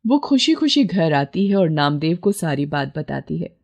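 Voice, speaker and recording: A woman's voice: sits low, very animated, normal speed, vocal fry, a little mellow. A woman storyteller reading scripted narration for a moral tale.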